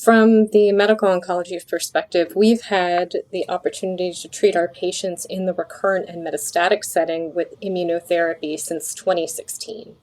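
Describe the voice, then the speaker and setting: A woman with a slightly low voice answers as an expert interview guest. Her explanations come in articulate, formal phrasing. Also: steady tone, normal speed, few pauses, a little mellow, poor audio. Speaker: medical oncologist, podcast guest.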